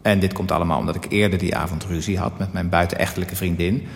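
A cynical husband confesses his inner thoughts in a radio play. His voice is very low and thick with fry, with a coarse, sardonic edge. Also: fairly even intonation, quick pace, heavily acted. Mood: bitter, confessional. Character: cynical unfaithful husband of a dying woman, narrating inner thoughts. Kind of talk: radio drama inner monologue.

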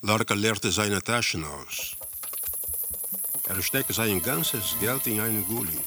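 A man playing an impassive narrator in a radio drama, reporting a surreal tale in deliberate, terse phrases. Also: lowish voice, animated, bright, forceful tone, soft background music, full character voice.

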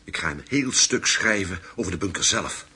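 Sharp, raspy probing journalist. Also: male voice, low-pitched, expressive, normal speed, heavily acted. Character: probing male journalist, smoothly flattering former Nazis. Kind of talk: Dutch radio play acting.

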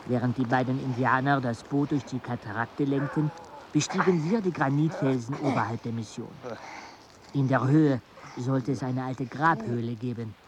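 An actor playing a jungle explorer's companion who narrates a radio play in a measured, literary way. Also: male voice, medium pitch, noisy background, natural voice. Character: male expedition companion narrating a grim jungle journey.

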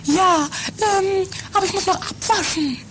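An affected, money-minded lady of wealth in trashy improvised radio comedy. Her voice is extremely high and theatrical, on a clearly noisy recording. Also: a little slow. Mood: pleased. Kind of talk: improvised trashy 1980s comedy audio drama.

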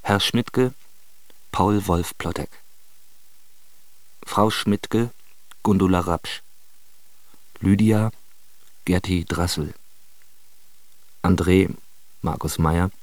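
A man's voice: deep voice, very slow, pauses often, no echo, poor audio. A man reading cast credits as a scripted radio announcement.

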